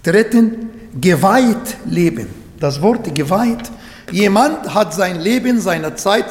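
A man's voice: high-pitched, roomy sound, in a large hall. A man whose first language is Arabic, preaching a sermon in German, earnest and sometimes searching for words.

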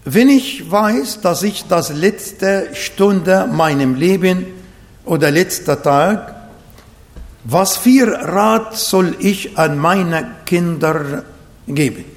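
A man with an Arabic accent preaching a sermon and praying, slow and emphatic, repeating phrases to his congregation. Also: sits high, big-room sound. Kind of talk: sermon with prayer. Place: church hall, livestreamed service.

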